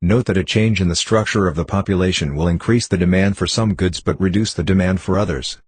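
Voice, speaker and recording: A man reading short formal passages aloud in a measured, scripted way. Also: deep voice, slight background noise. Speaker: model reader for English exam practice.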